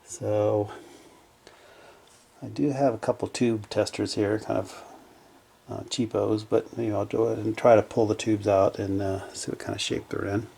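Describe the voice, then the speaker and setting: A man giving casual, unscripted narration as a hobbyist repairer at his workbench, low-key and hesitant as he thinks aloud. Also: flat delivery, normal speed, vocal fry, warm tone, breathy edge. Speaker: hobbyist electronics repairer presenting his project. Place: small indoor home workshop at a bench.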